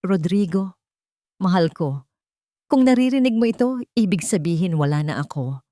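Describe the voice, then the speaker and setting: A woman's voice: fairly low pitch, animated, moderate pace, mellow, no echo, clean studio sound, natural voice. A woman narrator voicing a weak, tired and frightened dying wife leaving a last message in an audio drama. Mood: weary, loving.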